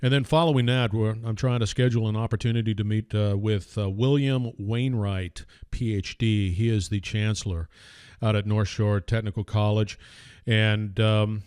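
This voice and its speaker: A man hosting an industrial podcast, introducing a guest in a big, energetic voice full of fillers and restarts. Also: fairly low pitch, normal speed, clean tone, dry recording, clean studio sound. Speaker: podcast host. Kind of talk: casual podcast intro and interview.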